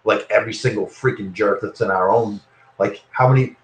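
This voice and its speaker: A man's voice: lowish voice, fairly quick, slight background noise. A middle-aged man co-hosting a podcast, teasing and joking in casual banter. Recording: roomy echo.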